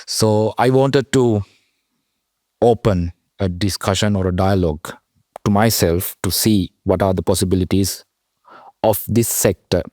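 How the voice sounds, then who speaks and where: A young man from Sri Lanka, a PhD student, talking as a podcast guest in a halting way with many fillers. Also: fairly low pitch, darkish tone, no echo, studio quality. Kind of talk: podcast interview.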